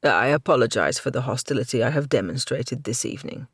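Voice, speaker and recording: Reader doing a stiff haughty knight, lowish and cold, sneering and formal, dry sound. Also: male voice, expressive, fairly quick, breathy edge, no room sound. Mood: disdainful. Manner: formal, disdainful.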